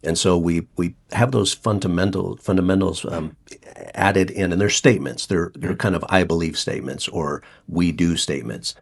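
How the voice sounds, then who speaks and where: A middle-aged man with a cold that deepens his voice, chatting as the guest of an interview. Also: normal speed, gravelly. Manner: relaxed and chatty, frequent restarts. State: has a cold, voice deeper than usual. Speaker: funeral home CEO, guest. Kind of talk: casual interview talk.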